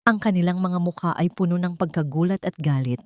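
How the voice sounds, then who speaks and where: Female narrator for dramatic audio fiction, slightly low register, dry studio-like sound with little room. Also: a little fast, a little mellow, dry recording. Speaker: storyteller. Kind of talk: dramatic scripted story narration.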